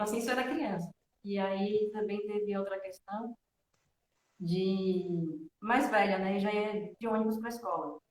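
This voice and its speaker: A woman's voice: fairly high pitch, a little hiss or hum. Echoey, distant northeastern Brazilian woman storytelling. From Salvador, northeastern Brazil. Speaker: interview guest, devotional student. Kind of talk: casual personal storytelling. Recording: roomy echo, distant from microphone.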